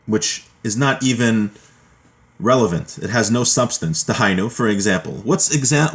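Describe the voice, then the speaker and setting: A man teaching a religious law class, reading from a text and explaining it informally in a didactic way, often pausing and rephrasing. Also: mid-range voice. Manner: didactic, with pauses and repeated rephrasing.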